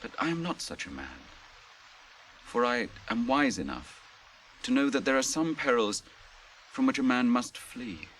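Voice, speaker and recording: An actor in a radio drama playing a noble, grave captain, proud yet merciful, with formal, archaic, theatrical delivery. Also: male voice, medium pitch, sing-song, measured pace, fairly bright tone, low-quality recording. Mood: sorrowful, proud. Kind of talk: 1980s radio drama acting. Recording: radio drama with clear background noise.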